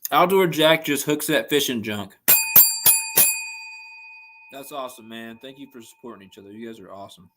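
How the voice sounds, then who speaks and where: A man's voice: mid-range voice, normal speed, long pauses, very noisy. A young man hosting a casual fishing livestream, chatting and answering viewers' messages, his voice a little worn from talking. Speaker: livestream host.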